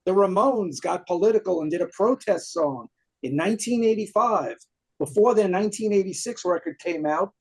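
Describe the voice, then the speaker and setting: High-voiced New York man, enthusiastic. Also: animated, normal speed, a little mellow, slightly rough. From Queens, New York. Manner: enthusiastic, detail-heavy storytelling. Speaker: guest, punk singer. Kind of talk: casual music discussion.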